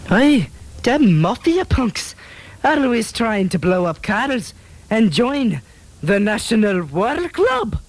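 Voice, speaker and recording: A man playing a gruff, bullying, scornful Scottish police chief in an amateur comedy radio drama. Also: high voice, big pitch swings, slow pace, full character voice. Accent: Scottish, put on.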